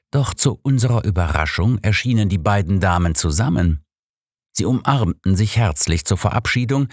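A man's voice: on the low side, darkish tone, dry recording. A man narrating a scripted first-person story as an audiobook reader, close on a studio microphone.

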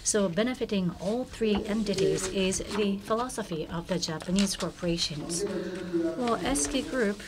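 A woman's voice: medium pitch, noisy background. A woman working as a conference interpreter, rendering a keynote speech with frequent hesitations and restarts. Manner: halting, many fillers and restarts. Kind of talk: consecutive interpretation.

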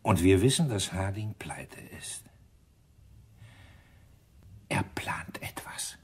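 A terse, brooding male inspector in a radio crime play. He speaks very slowly and low, in a breathy near-whisper, weighing each word with suspicion. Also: lively intonation, heavily acted. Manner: slow, halting, deliberate. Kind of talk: radio crime drama acting.

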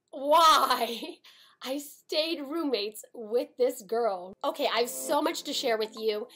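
A woman's voice: high-pitched, a bit bright. An American woman in her twenties telling a personal story to camera at home, dramatic and emphatic. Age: 20s. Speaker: storytime vlogger. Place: small room at home.

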